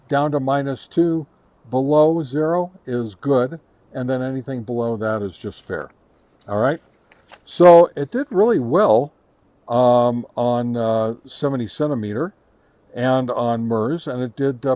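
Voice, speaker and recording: A man hosting a casual product review, speaking haltingly to his viewers and repeating words for emphasis. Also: medium pitch, a little mellow. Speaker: host reviewing radio antennas.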